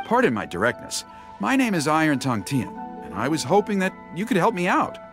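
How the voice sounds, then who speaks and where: Theatrical, wildly animated storyteller. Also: male voice, on the high side, a little fast, soft background music, exaggerated voice. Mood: courteous, eager. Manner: courteous, persuasive, flowery. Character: eager, theatrical, flattering male street storyteller. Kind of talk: video game character dialogue.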